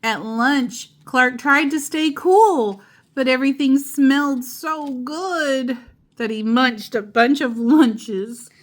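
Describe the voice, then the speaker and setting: A woman reading a children's picture book aloud in an expressive, playful way, with chatty asides. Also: sits high, takes it slowly. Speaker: storytime host and narrator.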